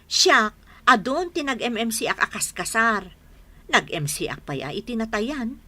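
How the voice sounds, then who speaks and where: A woman's voice: fairly high pitch, sing-song, a little slow, pushed and bright, exaggerated voice. A woman acting in an Ilocano radio drama as a chatty, boastful friend, exclaiming expressively with an Ilocano Filipino accent. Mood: flustered, put out.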